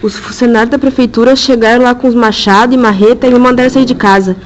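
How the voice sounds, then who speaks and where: A man voicing a distraught poor woman in a radio drama, telling things quickly and upset. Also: very high-pitched, steady tone, dull audio, a little hiss or hum, voice pushed up.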